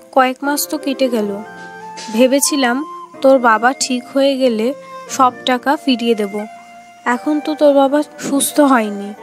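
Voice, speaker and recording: A worried village mother in a cartoon , played by a woman. Her voice is high, and she explains things with gentle, caring concern over faint music. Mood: anxious, resigned.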